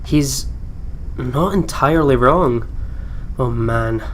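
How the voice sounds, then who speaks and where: A man's voice: medium pitch, takes it slowly, a bit bright, poor audio. A man hosting a let's play, reading story text aloud and breaking in with reactions and asides. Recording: clear background noise.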